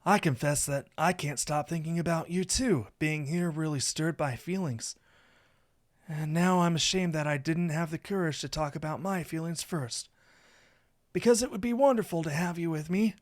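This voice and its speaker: Man acting high-pitched affectionate magical wizard lover. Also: moderate pace, close to an everyday voice. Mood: earnest, yearning. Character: affectionate, playful, pouty young male magical wizard lover. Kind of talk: visual novel character reading.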